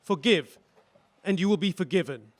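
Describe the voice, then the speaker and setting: A man's voice: sits high, moderate pace, natural voice. A man playing a subdued, remorseful husband on the stand. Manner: quiet, hesitant, remorseful answers. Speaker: witness in a courtroom drama. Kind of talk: audio drama acting.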